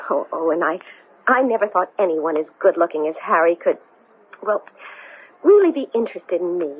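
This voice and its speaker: An actress playing an anxious, strong-willed woman astronaut in a 1970s radio drama, speaking in an agitated, halting way. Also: fairly low pitch, sing-song, breathy edge. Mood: earnest, excited. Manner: agitated, halting, stammering speech. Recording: noisy, thin, phone-like old radio broadcast.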